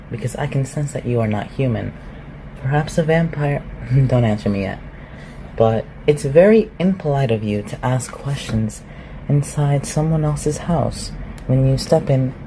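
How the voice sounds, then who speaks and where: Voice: mid-range voice, dark tone, a little hiss or hum, poor audio. A performer in an ASMR roleplay voicing an ancient, reclusive shapeshifter, haughty and awkward, with formal, archaic phrasing. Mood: awkward, indignant. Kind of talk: ASMR roleplay, scripted one-sided dialogue.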